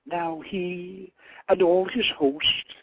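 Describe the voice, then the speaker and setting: A man reads as a fierce goddess of war who mocks a wounded king, her voice lifted high above the storyteller's own. She speaks slowly and with scorn, in a husky tone. The sound is thin, as over a phone line. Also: steady tone, full character voice. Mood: scornful command. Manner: commanding, scornful. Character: imperious goddess of war, woman, fierce and mocking.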